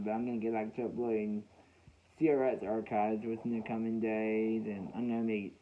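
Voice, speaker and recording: A man's voice: on the low side, slight background noise. Man chatting casually on a lo-fi recording. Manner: halting, repeats phrases. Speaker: weather radio hobbyist hosting a video. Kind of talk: casual, reminiscing vlog talk. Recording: dull, lo-fi home recording.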